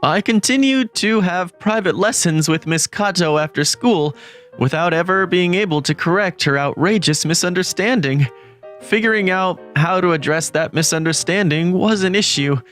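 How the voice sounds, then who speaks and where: A man voicing an earnest, lovestruck teenage student who narrates a scripted manga story in a measured way. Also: sits high, fairly bright tone. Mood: earnest, reflective. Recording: background music under the voice.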